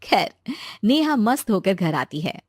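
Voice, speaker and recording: A woman narrating a cartoon moral story in a steady storytelling manner. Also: medium pitch, unhurried, no echo, studio quality.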